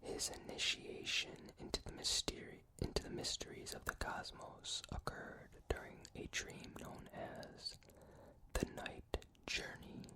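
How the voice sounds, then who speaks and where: A person reading facts in an unvoiced ASMR whisper, carefully and in short phrases with pauses between them. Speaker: reader.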